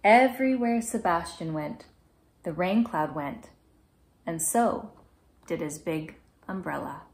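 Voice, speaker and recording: A woman in her thirties reading a children's picture book aloud in a gentle, measured, clearly phrased way. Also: fairly low pitch, lively intonation. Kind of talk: children's storybook read-aloud. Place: small indoor room at home.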